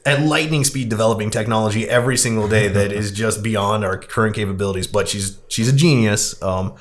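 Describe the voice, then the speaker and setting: A man hosting a podcast, recapping a film in a casual, rambling, halting way. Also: fairly low pitch. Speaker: podcast host.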